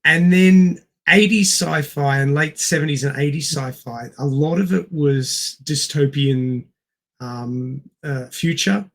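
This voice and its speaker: A man's voice: a little high, takes it slowly. A middle-aged man co-hosting a podcast, casually discussing film in an explanatory way and repeating words for emphasis. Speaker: podcast co-host.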